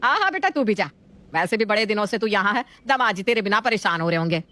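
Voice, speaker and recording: A woman's voice: mid-range voice, animated, fast, sharp tone. Woman acting fussy, doting middle-aged mother. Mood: concerned. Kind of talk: scripted family story acting.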